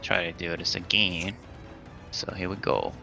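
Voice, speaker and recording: A man's voice: sits low, steady tone, unhurried, gravelly, sharp tone, soft background music. A man playing a tabletop roleplaying game, chatting casually as he works out his moves.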